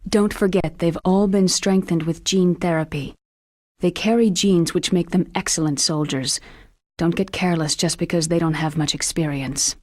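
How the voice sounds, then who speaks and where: A woman's voice: lowish voice, fairly even intonation, normal speed, darkish tone, no echo, clean studio sound, natural voice. A woman playing a serious, composed military scientist in a video game, speaking precisely and matter-of-factly. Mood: cautioning. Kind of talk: video game cutscene acting.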